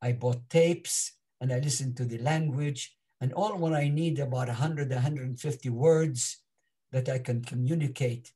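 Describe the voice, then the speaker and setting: A man in his eighties with an Iraqi Arabic accent, whose first language is Arabic, earnestly telling his life story on a video call with halting restarts. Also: medium pitch, mellow, breathy edge. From Iraq. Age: 80s. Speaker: interview guest. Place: home, in front of a virtual backyard background.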